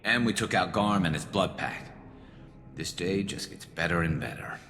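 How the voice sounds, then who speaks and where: A man playing a battle-weary alien vigilante sniper in a video game, gravelly, with a dry, wry, understated delivery. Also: low-pitched, normal speed. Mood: urgent. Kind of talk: video game dialogue acting. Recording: reverberant game audio under loud music.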